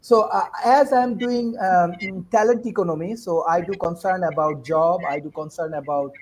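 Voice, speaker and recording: A man's voice: high-pitched, moderate pace, poor audio. A man from Bangladesh with a Bangladeshi accent, a lecturer earnestly asking questions in a forum discussion.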